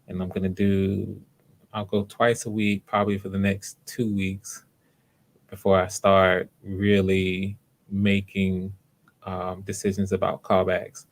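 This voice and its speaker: A man hosting live online auditions, talking casually to viewers in an unhurried, explanatory way, thinking aloud as he goes. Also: low voice, completely flat delivery. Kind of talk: casual livestream talk to viewers.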